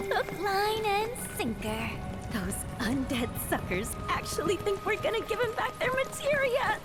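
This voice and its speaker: A woman voicing a cocky, excitable, greedy teenage girl treasure hunter in a video game, with slangy, exclamatory delivery. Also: very high-pitched, heavily acted. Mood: gleeful. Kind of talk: animated video game character acting. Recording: loud music under the voice.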